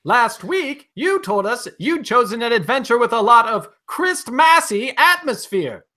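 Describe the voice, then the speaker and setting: A woman's voice: fairly high pitch, big pitch swings, measured pace, sharp tone, full character voice. A woman playing a cheerful, eager announcer in an old-time radio show. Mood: cheery, eager.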